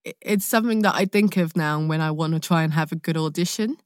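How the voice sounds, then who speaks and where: Young London woman, actor as podcast guest, slightly low voice, dry sound, candid slangy storytelling. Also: fast, no room sound. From London, England. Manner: candid, expressive, slangy, sweary. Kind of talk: casual chat, animated storytelling.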